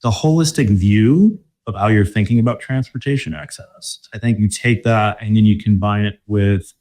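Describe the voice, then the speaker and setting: A man from Michigan speaking as a guest in a casual interview, relaxed and thoughtful, with many fillers and restarts. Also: on the low side, normal speed. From Michigan, USA. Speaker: guest, corporate mobility director.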